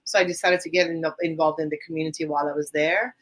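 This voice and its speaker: A woman's voice: low voice, fairly even intonation, fast, a bit bright. A woman from Iran with a Persian accent, a guest on a podcast interview, talking enthusiastically about volunteer teaching, with a rambling, restarting manner.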